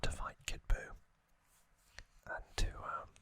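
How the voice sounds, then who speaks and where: A man hosting ASMR in a breathy whisper, gently recapping trivia in short, halting phrases. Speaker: ASMR host.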